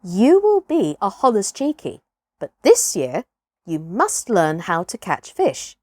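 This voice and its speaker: A gentle mother seal from a children's storybook voiced by a woman, speaking slowly to her pup. Her tone is calm and instructive, with lively rises and falls, recorded close and dry. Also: mid-range voice, dry recording, exaggerated voice. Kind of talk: children's storybook character reading.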